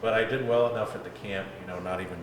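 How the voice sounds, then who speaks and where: A man's voice: fairly low pitch, fairly even intonation, fast talker. A young man from South Africa, a football player, telling his story as an interview guest in an easygoing way, with lots of "like" and "you know". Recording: echoey room with mic at a distance and noise.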